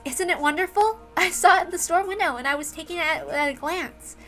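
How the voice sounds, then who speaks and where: A fond mother, voiced by a woman reader, pitched high and bright, with music behind her. She sounds sweet, coaxing and indulgent, as in a visual novel. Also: a little fast. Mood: fond, pleased. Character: tired mother, surprised and fondly indulgent to her child.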